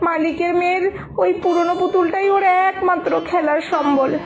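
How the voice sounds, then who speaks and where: A woman playing a poor, loving village mother in an animated moral story, tender and lamenting as she gently scolds. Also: very high-pitched, normal speed. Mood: anguished. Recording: music behind the voice.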